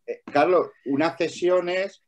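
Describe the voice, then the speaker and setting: A man's voice: fairly high pitch, unhurried. A man in a heated debate, arguing hard, repeating his points and talking over the others. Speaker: debate participant. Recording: dull lo-fi recording.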